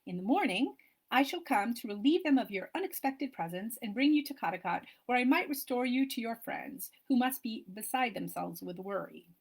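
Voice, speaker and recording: A measured, self-assured Regency gentleman speaking in formal sentences, as a woman reads him aloud. The voice dips a little lower than the reader's own and carries a soft huskiness. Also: lively intonation, vocal fry, slightly rough, exaggerated voice, low-quality recording.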